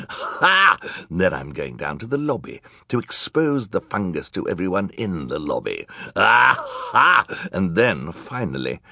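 A man's voice: low voice, gravelly, sharp tone, husky, no echo, clean studio sound, full character voice. A man voicing a scheming, menacing villain count in an audiobook, gloating and theatrical. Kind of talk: audiobook character acting.

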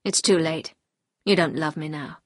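A woman voicing a bitter, anguished upper-class husband in an audiobook, speaking gravely and confessionally. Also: sits low, moderate pace, no room sound, clean studio sound, pitched below the performer's own voice. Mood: despairing, urgent. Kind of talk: audiobook character dialogue.

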